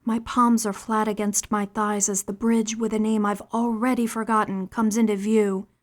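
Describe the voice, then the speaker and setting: A woman narrating audiobook excerpts in a measured, expressive storytelling manner. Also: mid-range voice. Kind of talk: audiobook narration demo reel.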